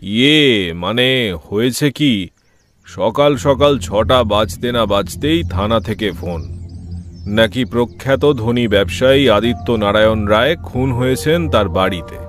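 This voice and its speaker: A man playing a flustered, hurried police officer in an audio drama, earnest and explanatory. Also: medium pitch, expressive, natural voice. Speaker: voice actor in an audio story. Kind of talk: Bengali detective audio drama acting. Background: music.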